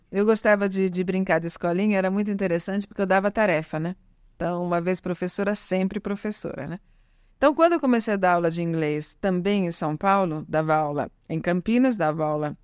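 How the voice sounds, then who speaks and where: Smooth-voiced Italian-born woman, chatty guest. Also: medium pitch, darkish tone, no echo, clean studio sound. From Italy. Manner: chatty, with frequent tag questions and restarts. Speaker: interview guest, language teacher. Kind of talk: casual conversational interview.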